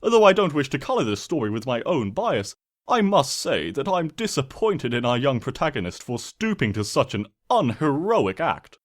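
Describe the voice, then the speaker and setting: Man playing a theatrical, wildly animated trickster narrator. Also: mid-range voice, normal speed, lightly acted. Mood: mock wounded. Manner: theatrical, sardonic, pompous. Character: smug, mischievous male trickster spirit narrating with mock innocence. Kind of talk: comedic storybook narration.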